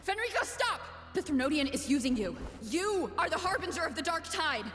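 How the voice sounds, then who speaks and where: Earnest, stern young heroine. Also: female voice, sits high, big pitch swings, fairly quick, over music. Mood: urgent. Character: earnest, firm young heroine confronting wrongdoers. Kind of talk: dramatic video game voice acting.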